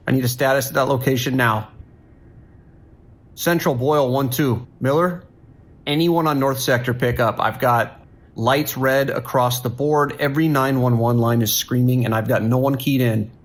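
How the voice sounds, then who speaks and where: A man's voice: mid-range voice, natural voice. Man acting as a harried, commanding police dispatcher. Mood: urgent, frustrated. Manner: urgent, clipped commands. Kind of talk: scripted audio drama.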